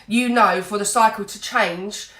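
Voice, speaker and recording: Woman, tarot reader, slightly snotty with a cold, mid-pitched, echoey room. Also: steady tone, a little slow, fairly bright tone. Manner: earnest, reassuring, with many "you know" fillers. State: has a cold, a bit snotty. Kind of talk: tarot reading vlog.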